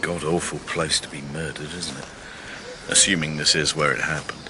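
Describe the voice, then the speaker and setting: A dour, terse detective in a radio drama. His voice is extremely deep, with clear ambience and insect buzz in the background. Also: steady tone, moderate pace, background noise. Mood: grim. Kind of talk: naturalistic radio drama acting.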